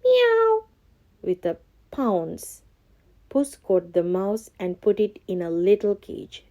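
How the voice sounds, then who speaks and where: A woman reading a scripted children's storybook as narrator, expressive and carefully phrased. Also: on the low side, slow pace, highs cut off.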